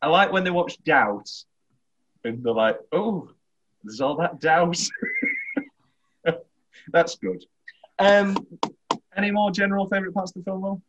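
A British man hosting a casual film podcast, talking in a teasing, opinionated, animated way. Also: high voice, unhurried, long pauses, lo-fi sound, background noise. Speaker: podcast host.

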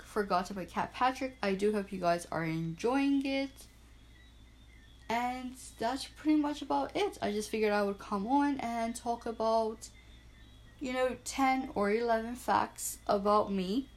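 A young woman from Bermuda hosting her own small podcast, chatting in an upbeat, self-deprecating way and spelling things out slowly. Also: on the high side. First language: Portuguese and English bilingual. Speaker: podcast host. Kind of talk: casual solo podcast chat. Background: frogs and crickets at night.

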